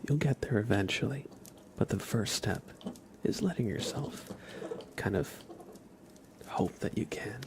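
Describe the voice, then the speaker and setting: A man hosting an ASMR livestream, chatting casually with viewers in a soft, breathy half-whisper, sometimes drawing words out and repeating them. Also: on the low side, animated, a little slow, long pauses, noisy background. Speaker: livestream host.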